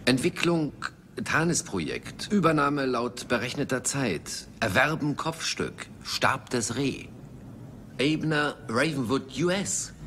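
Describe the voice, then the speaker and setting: An actor playing an earnest, secretive intelligence officer in a dubbed film, formal and official. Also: male voice, medium pitch, big pitch swings, takes it slowly, bright, forceful tone, slight background noise, full character voice. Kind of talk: German film dubbing.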